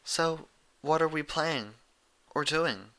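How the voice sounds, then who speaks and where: A gentle young pegasus mare, voiced by a man, raised in pitch. She is timid and soft, tentative in everything she says in this cartoon tale. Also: takes it slowly, clean tone, exaggerated voice. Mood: puzzled.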